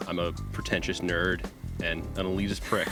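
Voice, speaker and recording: An American man from California speaking casually as an interview guest, halting and searching for words. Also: sits low, flat delivery, music in the background. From California, United States. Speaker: game designer, interview guest.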